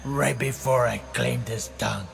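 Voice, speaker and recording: An actor playing a sneering, cruel henchman in a video game, with a gravelly voice and sardonic, contemptuous delivery. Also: mid-range voice, fairly even intonation, moderate pace, fairly bright tone, a little hiss or hum. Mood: scornful, mocking. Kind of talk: video game dramatic acting.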